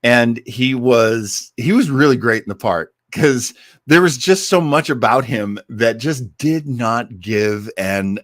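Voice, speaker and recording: A man's voice: mid-range voice, slow, fairly bright tone, no room sound, studio quality. An American man hosting a casual livestream, chatting to listeners in an enthusiastic, rambling, conversational way. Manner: enthusiastic, effusive about favourites. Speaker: host of an old-time radio listening livestream.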